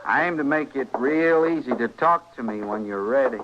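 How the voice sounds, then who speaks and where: A quiet, polite frontier fellow who is eerily persistent. He talks in a mild, folksy, deliberate way at a middling pitch. It is 1950s radio western acting on a dull, noisy recording. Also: lively intonation, gravelly. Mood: mild, menacing.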